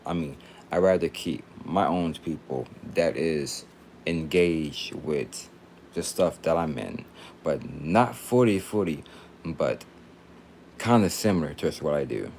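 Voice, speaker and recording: A man's voice: a bass voice, takes it slowly, a little mellow, slight background noise. A man in his late twenties from Texas, chatting casually on a livestream in a rambling, repetitive, slangy way. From Texas, United States.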